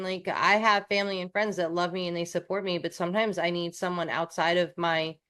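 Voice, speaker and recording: A woman from New York co-hosts a coaching podcast in a relaxed, candid chat. Her voice sits somewhat low, and she often restarts a thought or pokes fun at herself.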